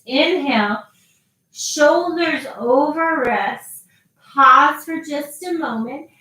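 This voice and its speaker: A woman guiding a yoga class with slow, calm cues and long pauses between them. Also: high voice. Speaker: yoga teacher. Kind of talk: guided yoga instruction. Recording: very echoey, voice from a distance.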